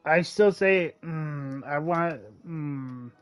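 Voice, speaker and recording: A man with a fairly high voice reacts casually to a game. He talks slowly and haltingly, with faint music behind him. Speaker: game streamer reacting to a story.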